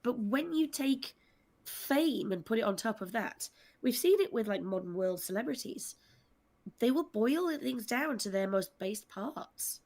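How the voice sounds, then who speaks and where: A woman's voice: a little high, expressive, a little slow, a little mellow. Articulate woman. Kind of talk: panel discussion, explaining character motivation.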